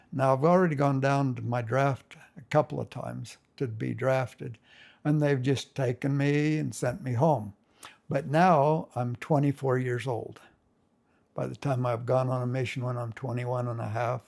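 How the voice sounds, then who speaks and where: A man's voice: medium pitch, creaky, darkish tone. An older man casually reminiscing as a family storyteller, rambling with folksy grammar and many restarts.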